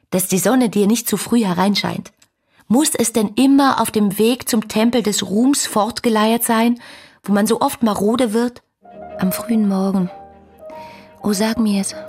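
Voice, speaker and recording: An actress playing a dreamy, headstrong young woman in love, reading her letters in a breathy voice for a radio play. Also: medium pitch, a little mellow. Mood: yearning, rapt.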